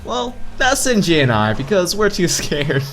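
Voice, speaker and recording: A man's voice: sits high, very animated, normal speed, fairly bright tone. A man reading a visual novel aloud casually, playfully stumbling over words and adding side comments. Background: visual novel background music.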